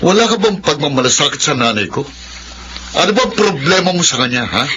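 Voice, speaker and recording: A man's voice: a little high, very animated, fairly quick, dull audio, background noise. An actor playing a defensive mama's-boy husband in a Filipino radio drama, exasperated and reproachful.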